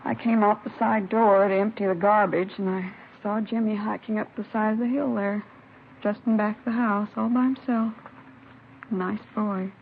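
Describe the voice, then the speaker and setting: An American actress playing a kindly, talkative next-door neighbor in a 1950s radio crime drama. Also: female voice, medium pitch, fairly even intonation, moderate pace, creaky, close to an everyday voice. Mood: earnest, sympathetic. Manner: homely, talkative, sympathetic. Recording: muffled, noisy vintage radio broadcast.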